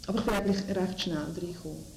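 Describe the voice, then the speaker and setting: Young swiss german woman, low gravelly voice, stops and starts a lot, echoey room w noise. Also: fairly even intonation, very fast. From Switzerland. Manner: hesitant, thoughtful, many fillers. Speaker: interviewee. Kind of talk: casual interview answers.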